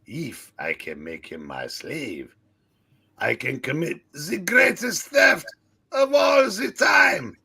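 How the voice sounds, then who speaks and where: A man's voice: on the high side, big pitch swings, slow pace, creaky, pushed and bright, slightly rough, raised voice, exaggerated voice. A man voicing a pompous, scheming French master thief with a mock French accent, in hammy comic-book villain style. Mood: grandiose scheming. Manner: melodramatic, grandiose, swinging from menace to exclamation.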